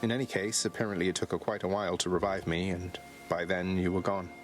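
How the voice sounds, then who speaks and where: A man playing a defiant but courteous young prince in a video game, speaking in a measured, wry way. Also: deep voice, little pitch movement, over music, close to an everyday voice. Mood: earnest, explaining. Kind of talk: dramatic video game acting.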